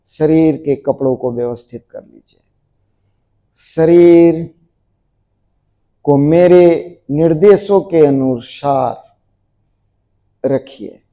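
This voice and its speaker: A man guiding a group through yoga relaxation in a measured, repetitive, instructing manner. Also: mid-range voice, dark tone. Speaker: yoga nidra teacher.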